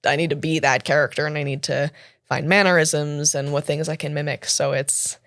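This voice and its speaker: A Canadian woman in her twenties, an actor and cosplayer, chatting as an interview guest with a deeper voice than expected, laughing often and full of fillers. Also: little pitch movement, fairly quick, fairly bright tone, no room sound, studio quality. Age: 20s. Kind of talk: casual interview chat.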